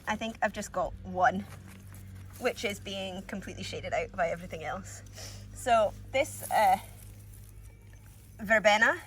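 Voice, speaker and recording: A woman's voice: mid-range voice, a bit bright, slight background noise. A woman with a cold, a bit sniffly, chatting casually through a walk-through of her allotment garden with lots of ums and little laughs. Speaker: gardening vlogger.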